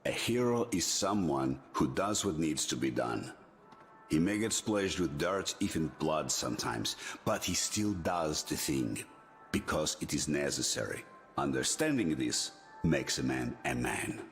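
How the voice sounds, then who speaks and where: Swooping, low-voiced genial military commander. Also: male voice, lively intonation, measured pace, faint music behind, heavily acted. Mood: firm, persuasive. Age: middle-aged. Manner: chummy, persuasive, switching to commanding. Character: genial but ruthless middle-aged male military commander. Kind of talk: dramatic video game cutscene acting.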